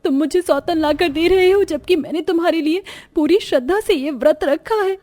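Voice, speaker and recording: A woman's voice: very high voice, moderate pace, dark tone. A woman playing a humble, devoted, long-suffering wife in a cartoon story, earnest and pleading in her delivery. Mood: hurt, pleading.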